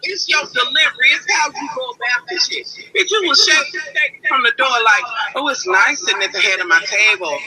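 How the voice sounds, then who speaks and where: A man's voice: very high-pitched, lively intonation, brisk, bright, forceful tone, a little hiss or hum, rough recording. A man calling in with a sassy, brash, confrontational rant full of slang. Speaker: disruptive caller.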